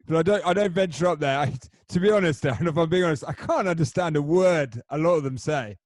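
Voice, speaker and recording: A man's voice: on the high side, fast, a little mellow, no room sound. A young man hosting a casual chat, joking about himself in a hesitant, rambling way. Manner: hesitant, jokey, self-mocking. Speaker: host.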